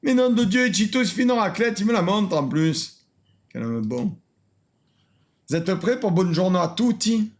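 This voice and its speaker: A man's voice: high voice, expressive, moderate pace. A French man streaming a reaction video in a casual, mocking way, laughing often and repeating lines.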